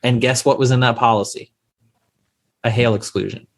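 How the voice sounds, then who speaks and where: An emphatic man gives advice as a podcast guest, with a middle-pitched voice. He stresses his points and often asks questions back, keeping a plain, explanatory manner. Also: steady tone, normal speed. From Louisiana, USA. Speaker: insurance attorney guest. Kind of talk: podcast interview, advising investors.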